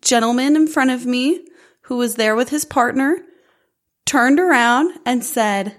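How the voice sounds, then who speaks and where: A woman co-hosting a casual chat, telling stories with a loud, carrying voice and frequent laughter. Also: a little high, a little slow. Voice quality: loud voice that carries. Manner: animated storyteller who laughs often. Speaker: podcast co-host.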